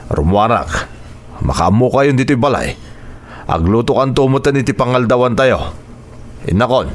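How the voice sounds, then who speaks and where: A man's voice: medium pitch, expressive, normal speed, natural voice. Man acting stern, bossy uncle. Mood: bossy, impatient. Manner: bossy, scolding. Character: stern, demanding uncle ordering children to do chores. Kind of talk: radio drama acting.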